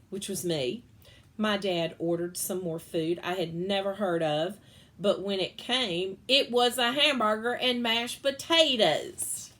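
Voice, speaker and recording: A woman reads a children's picture book aloud in an animated, playful way. Her voice sits mid-range and jumps up high for funny moments. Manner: expressive, playful storytelling with big pitch swings. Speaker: reader.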